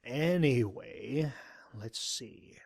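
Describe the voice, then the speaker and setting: Man acting a slow, scholarly old explorer pony. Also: mid-range voice, lively intonation, gravelly, a little mellow, no echo, exaggerated voice. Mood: hesitant. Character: ageing scholarly male pony explorer, dry and unhurried. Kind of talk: audio drama character acting.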